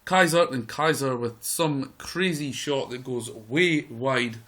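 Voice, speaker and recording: A man with a croaky voice giving excitable live play-by-play commentary over a football management game. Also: mid-range voice, takes it slowly. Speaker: gaming video host.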